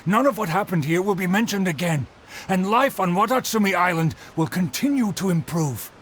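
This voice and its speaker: An actor playing a bereaved, weary older radish farmer in a video game, arguing and pleading. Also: male voice, high-pitched, animated, normal speed, fairly bright tone, a little hiss or hum. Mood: pleading, resigned. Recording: music behind. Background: clear music.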